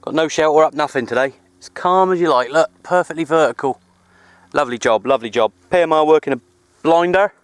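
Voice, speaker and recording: Matey man, higher-pitched, outdoors. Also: a little fast, dry recording. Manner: matey, informal British slang. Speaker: amateur radio operator and vlogger. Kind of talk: casual radio chat and outdoor vlog. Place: outdoors on a hill. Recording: clear outdoor background noise.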